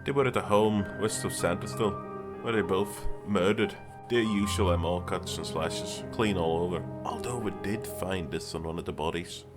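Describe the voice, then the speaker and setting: A man's voice: low-pitched, fairly even intonation, moderate pace, close to an everyday voice. A man playing a world-weary, grave older detective in a fantasy audio drama. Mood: matter-of-fact. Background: music.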